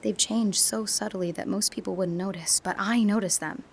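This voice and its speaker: An actress playing a young female university student in a radio drama, reflecting quietly and sometimes dropping to a whisper. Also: medium pitch, steady tone, moderate pace, a little hiss or hum, close to an everyday voice. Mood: troubled. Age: young adult.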